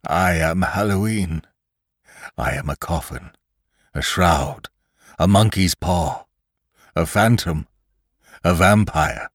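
A man's voice: sits low, slow, pauses often, no room sound, clean studio sound. A man voicing a delirious dying man who raves and cries out as he is carried to the flames. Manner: raving, rising to a wild scream. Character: dying man raving in a crematorium.

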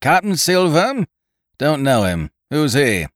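A man's voice: mid-range voice, wildly swooping pitch, takes it slowly, dry recording, clean studio sound, voice pushed up. Man acting Captain Smollett, stern barking commander. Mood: scornful. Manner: clipped, commanding, scornful.

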